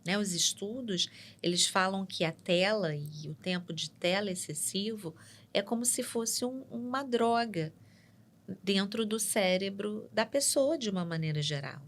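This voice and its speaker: A woman psychologist, explaining ideas in a measured way on a studio podcast and often checking in with "né?". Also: mid-range voice, expressive. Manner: measured and explanatory, tags points with "né?". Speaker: psychologist guest. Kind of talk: explanatory interview talk.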